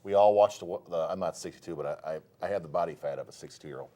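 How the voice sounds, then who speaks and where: A man's voice: deep voice, fast talker, dark tone. A man appearing as a guest on a casual talk show, joking around. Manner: joking, puts on voices in stories.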